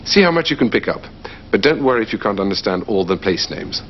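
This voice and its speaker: A man presenting a language course in a clear, measured teaching voice. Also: on the low side. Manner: clear, measured teaching delivery with lively intonation. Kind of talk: scripted educational narration. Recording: dull, slightly noisy TV soundtrack.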